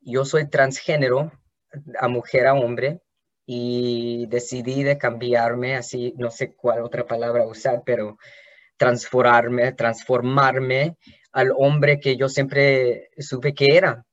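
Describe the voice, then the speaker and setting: Slow, hesitant man on a podcast. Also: mid-range voice, flat delivery, highs cut off. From Los Angeles, California. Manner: slow, hesitant, with many restarts. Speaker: podcast host. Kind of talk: personal monologue.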